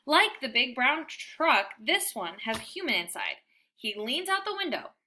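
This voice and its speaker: A woman reading a children's book aloud as storyteller, with expressive, dramatic and deliberate phrasing for young listeners. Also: high-pitched, slight background noise.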